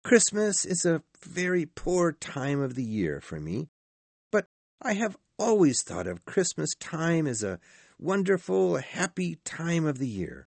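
A man voicing a cheerful, warm-hearted young nephew in a storybook, earnest and exclaiming as he pleads with his uncle. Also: fairly high pitch, huge pitch swings, measured pace, creaky, no room sound, pitched above the performer's own voice, exaggerated voice. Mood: earnest, joyful. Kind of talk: storybook character voice.

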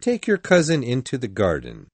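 A man voicing a brooding, quietly bitter adult man in storybook dialogue, measured and commanding. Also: mid-range voice, huge pitch swings, no room sound. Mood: firm.

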